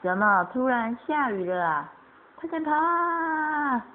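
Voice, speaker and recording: A woman acts out a doting monster mother in a children's radio play. She speaks slowly, with simple exclamatory delivery. The recording is tinny and slightly noisy. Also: high voice, very animated, pitched above the performer's own voice, full character voice. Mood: surprised. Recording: tinny, band-limited amateur recording with noise.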